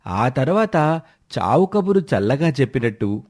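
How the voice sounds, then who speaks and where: A man narrating a scripted moral tale as an expressive storyteller. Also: medium pitch, unhurried, husky.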